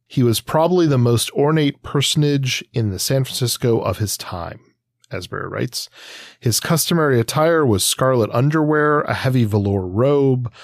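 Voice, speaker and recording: A man's voice: fairly low pitch, no echo, studio quality. A man in his forties co-hosting a literary podcast, with a hesitant, meandering, exploratory way of talking. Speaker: podcast co-host. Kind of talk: conversational literary commentary.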